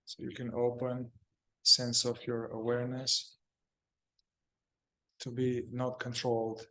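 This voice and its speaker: Slow, even-toned man guiding meditation. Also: medium pitch, poor audio. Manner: calm, halting phrases with long pauses. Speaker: meditation guide. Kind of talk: guided meditation.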